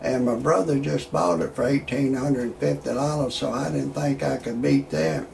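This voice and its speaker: A man in his sixties talking in a rambling monologue with stand-up style jokes, halting often on fillers and restarts. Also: mid-range voice, fairly even intonation, creaky, a little mellow, a little hiss or hum. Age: 60s. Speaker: amateur online show host.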